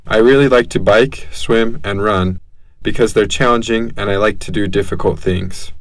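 A man reading scripted lesson lines in a plain, even way. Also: on the low side, normal speed, no echo, poor audio. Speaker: dialogue reader.

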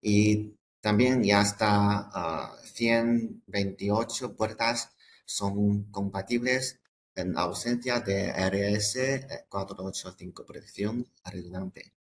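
A man presenting products in a webinar, halting and hesitant, often repeating words and breaking off phrases. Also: lowish voice, slight background noise.

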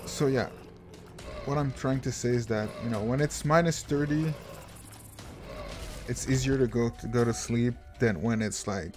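Slow-talking man, rambling. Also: mid-range voice, a little mellow. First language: French. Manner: rambling, self-deprecating, frequent restarts. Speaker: gaming channel host. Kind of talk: casual gameplay commentary. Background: game music.

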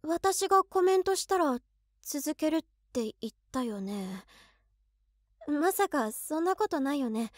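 Woman acting high-voiced lovestruck young office worker. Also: measured pace, dry recording, clean studio sound. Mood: shy, lovestruck. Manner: expressive, girlish musing aloud. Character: overworked lovestruck office woman of 23, excitable, devoted fan. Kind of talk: Japanese situation voice drama monologue.

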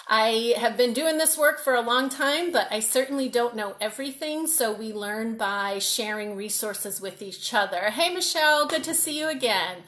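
Woman coach, 40s, slightly high voice, upbeat live-stream host greeting viewers, home webcam. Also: a bit bright. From Massachusetts, North Shore of Boston. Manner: upbeat, greets viewers by name, restarts words. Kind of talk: live-stream workshop talk. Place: room at home, indoors.

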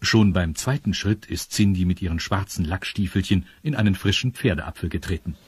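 A man's voice: deep voice, moderate pace, noisy background. A man narrating a children's audio drama from a script.

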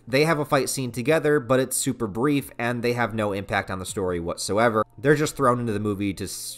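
A man's voice: medium pitch, fairly bright tone. A man in his thirties with an American accent narrating a casual, opinionated review in an informal, enthusiastic, slangy way. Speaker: video reviewer. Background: background music.

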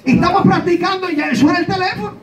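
A middle-aged man preaching and telling a testimony on a small church stage, joking and shouting with energy. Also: very high voice, fast talker, crowd noise. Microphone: handheld mic, far camera. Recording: echoey church heard from far off, loud congregation.

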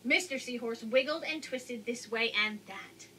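A woman's voice: fairly high pitch, measured pace, sharp tone. A woman in her thirties reading a picture book aloud expressively to young children, indoors on a camera mic. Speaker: storybook reader. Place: indoors, small room with curtain backdrop. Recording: faint background noise.

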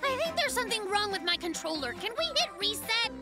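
A very high, animated cartoon voice for a boastful little boy bear cub. The delivery is theatrical and excitable, swinging widely in pitch. Loud music plays behind it. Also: fairly quick. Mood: puzzled. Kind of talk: children's cartoon voice acting.

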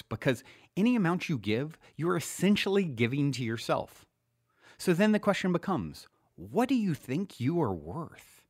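Guy reading a self help audiobook, earnest and motivational, pitch goes up and down a lot, really dry sound. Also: dry recording. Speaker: podcast host reading his own book. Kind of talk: scripted audiobook narration.

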